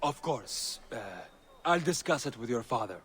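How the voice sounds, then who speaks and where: A man's voice: medium pitch, lively intonation, normal speed, fairly bright tone, close to an everyday voice. A man voicing a deferential horseman in a game cutscene, speaking to his young mistress as a servant. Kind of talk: dramatic game cutscene acting. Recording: clear background noise.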